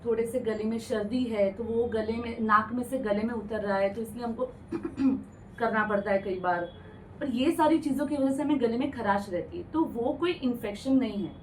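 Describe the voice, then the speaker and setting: A woman's voice: on the high side, moderate pace, darkish tone, far from the mic, slight background noise, poor audio. Hindi-speaking woman doctor, patient explanations. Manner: explains patiently, mixes English medical terms into Hindi. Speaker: ENT doctor guest. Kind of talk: radio health interview, explaining plainly. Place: radio studio.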